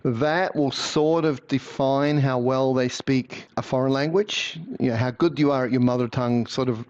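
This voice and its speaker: A man's voice: mid-range voice, fairly even intonation, moderate pace, darkish tone. A man being interviewed, explaining his views in a conversational way and often repeating words as he starts his sentences. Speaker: guest, language-learning speaker. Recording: slightly muffled lo-fi sound.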